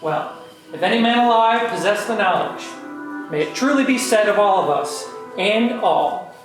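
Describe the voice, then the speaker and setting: A woman's voice: mid-range voice, lively intonation, normal speed. A woman narrating a comic amateur stage reading from a script. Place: live amateur reading in a reverberant room. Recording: echoey room, distant, music behind.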